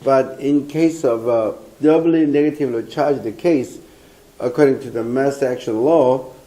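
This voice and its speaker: An older man, a native Korean speaker with a Korean accent, giving a university lecture, hoarse from a cold and talking haltingly with many fillers, recorded by a distant camera in a lecture room. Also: mid-range voice, dark tone. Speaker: professor. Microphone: far camera.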